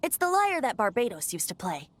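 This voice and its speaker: A woman's voice: fairly high pitch, huge pitch swings, brisk, a little hiss or hum. A woman voicing a playful, boastful young male bard in a video game, with a light, teasing manner. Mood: earnest.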